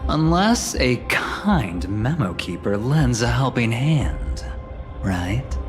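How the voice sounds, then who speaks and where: A sly envoy from a video game, talks in a slow, airy, flattering way. Music plays clearly behind the voice. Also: male voice, medium pitch. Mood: airy, coy. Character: sly, breezy envoy who flatters his way out.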